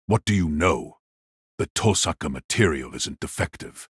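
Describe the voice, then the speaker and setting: Casting: ancient villainous mage, extremely low raspy voice, gloating and sinister, dramatised audiobook. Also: male voice, lively intonation, no room sound, heavily acted. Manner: slow, sinister, condescending. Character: wicked old male magus patriarch, cold, mocking, gloating.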